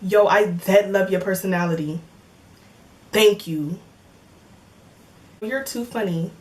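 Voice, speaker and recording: A woman's voice: mid-range voice, slow, darkish tone, distant mic. A woman of twenty in casual chat, answering viewer comments in a joking, slangy way and laughing often. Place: home filming setup with cameras and lights.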